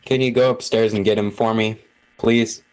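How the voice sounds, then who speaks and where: Man acting father, serious, subdued, low-pitched, amateur radio drama. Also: level tone, normal speed, a little mellow, clean tone, natural voice, low-quality recording.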